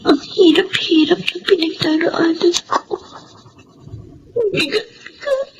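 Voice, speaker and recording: An actress playing a soft-hearted, grieving young orphaned woman in an emotional, earnest Filipino radio drama style. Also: very high-pitched, a little hiss or hum, poor audio. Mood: tearful, weary.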